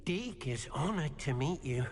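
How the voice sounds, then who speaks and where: A man's voice: on the high side, expressive, unhurried, gravelly. An actor playing a polite, eager male house elf in a video game, speaking deferentially of himself in the third person. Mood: apologetic, deferential. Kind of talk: video game character acting. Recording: long hall reverb, faint background noise.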